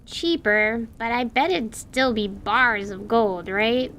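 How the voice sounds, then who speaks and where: A woman's voice: fairly high pitch, takes it slowly, fairly bright tone, a little hiss or hum. A woman playing a curious, shrewd young girl with a blunt, inquisitive manner in an audio drama. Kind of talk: audio drama acting of a tabletop campaign.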